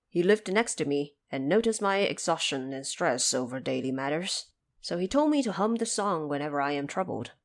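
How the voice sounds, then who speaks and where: A woman voicing a humble male woodcutter in an audio drama, self-effacing and plain-spoken. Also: low-pitched.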